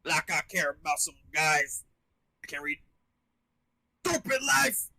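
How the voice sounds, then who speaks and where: Someone playing a brash teenage boy, animated and crude. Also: medium pitch, measured pace, creaky, exaggerated voice. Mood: crude, sullen. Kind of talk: live amateur fandub acting.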